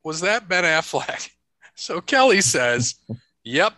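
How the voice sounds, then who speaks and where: A man's voice: on the high side, big pitch swings, slow pace, bright, forceful tone, no room sound. A man hosting a casual chat, rambling through stories and laughing often. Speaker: podcast host.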